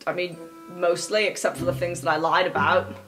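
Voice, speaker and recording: A woman's voice: on the low side, steady tone, brisk, over music, pitched below the performer's own voice, close to an everyday voice. A woman playing an awkward, earnest, exasperated student with a hesitant, politely formal manner in a tabletop game. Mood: defensive, awkward.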